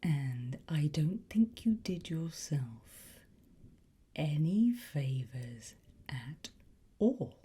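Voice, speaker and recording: Very slow, breathy whispering woman. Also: low voice, big pitch swings, warm tone. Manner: slow, coaxing, teacherly with pauses. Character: sly, patient demonic Latin teacher, softly menacing. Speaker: ASMR roleplay performer playing a teacher. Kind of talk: whispered ASMR roleplay.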